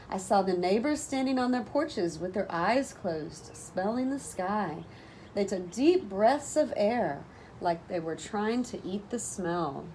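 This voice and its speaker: Female storybook reader, teacherly and expressive, widely varied pitch, some noise in the recording. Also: rough recording. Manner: animated, deliberate phrasing for children. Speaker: teacher reading a storybook aloud. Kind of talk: expressive children's storybook read-aloud. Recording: noticeable background noise.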